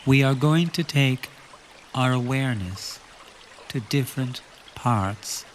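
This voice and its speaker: A man leading a guided relaxation meditation, giving calm instructions in short phrases with long pauses between them. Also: mid-range voice, slight background noise.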